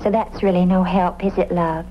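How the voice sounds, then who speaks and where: A woman's voice: on the low side, fairly even intonation, moderate pace, faint music behind, close to an everyday voice. An actress playing a serene, eccentric, airy woman witness in an old-time radio drama. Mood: airy, literal. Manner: dreamy, airy, calls everyone "love". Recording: muffled, hissy old radio recording.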